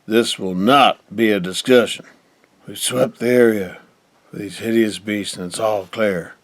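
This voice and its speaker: A man's voice: lowish voice, fairly even intonation, measured pace, no echo. A dry, closely recorded stern patriarch in a radio drama. He speaks formally and with unbending authority, like the rich head of a family who leads survivors. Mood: stern, resolute. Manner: formal, commanding, unyielding. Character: stern, authoritative wealthy father leading survivors. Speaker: actor in an audio drama.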